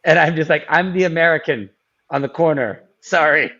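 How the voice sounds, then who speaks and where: A man's voice: fairly high pitch, normal speed, sharp tone, highs cut off. A man as a podcast guest, chatting casually with many ums and restarts. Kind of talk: casual interview chat.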